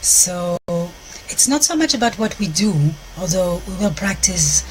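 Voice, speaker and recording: A Belgian woman speaking English with a French accent, hosting a live stream outdoors in a park and pausing often to find her words. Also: medium pitch, expressive, slight background noise, rough recording. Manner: searches for words, frequent restarts, soft gentle delivery. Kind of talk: live-stream talk to viewers.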